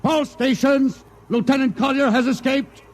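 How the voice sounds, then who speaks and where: An actor playing a commanding, embattled spaceship captain in a 1950s radio drama, delivered with a forceful, urgent, dramatic manner. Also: male voice, extremely high pitch, steady tone, normal speed. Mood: frantic. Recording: noisy vintage radio broadcast.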